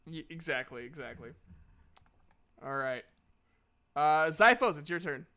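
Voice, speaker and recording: A man's voice: fairly high pitch, expressive, unhurried, sharp tone. A man speaking casually as game master of a tabletop game, with a playful, self-deprecating way of bantering.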